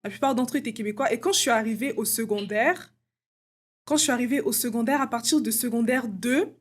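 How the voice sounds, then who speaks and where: A woman's voice: on the high side, a little fast, slightly rough. Brief: young woman guest from Congo, raised voice, chatty, sprinkles "genre" and hesitations. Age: young adult. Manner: casual, many fillers like "genre" and "euh". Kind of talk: casual interview conversation.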